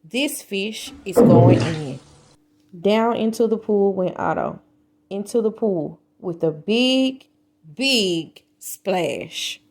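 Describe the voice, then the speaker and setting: A woman reading a children's book aloud as an expressive storyteller, with deliberate, dramatic emphasis. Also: mid-range voice, creaky.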